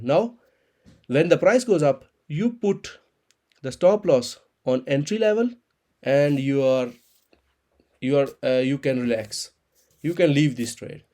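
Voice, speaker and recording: A man in his thirties, an Urdu speaker, explaining a trading chart in halting with many restarts. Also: on the high side, dark tone. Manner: halting non-native English, frequent restarts and repeated words. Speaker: crypto trading channel host.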